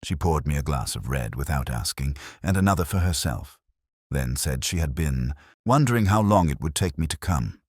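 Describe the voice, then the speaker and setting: A man playing a weary, melancholy police inspector, narrating a mystery drama in solemn, literary, measured phrases. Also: very deep voice, expressive, husky, no room sound, clean studio sound, heavily acted. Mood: somber, reflective. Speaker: narrator of a detective audio drama. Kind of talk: scripted first-person mystery narration.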